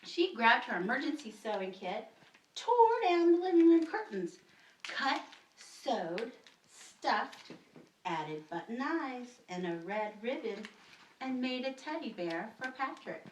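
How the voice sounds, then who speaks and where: A woman's voice: a little high, very animated, slow. A woman narrating a children's story expressively, as a storyteller. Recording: roomy, distant mic, faint noise.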